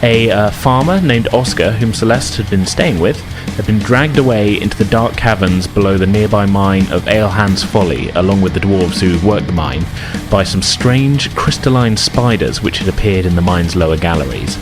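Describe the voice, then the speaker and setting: A man's voice: on the low side, expressive, moderate pace, few pauses. A man hosting and game mastering a tabletop role-playing podcast in an informal, chatty way. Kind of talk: casual podcast intro and tabletop game narration. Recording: music behind the voice. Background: music.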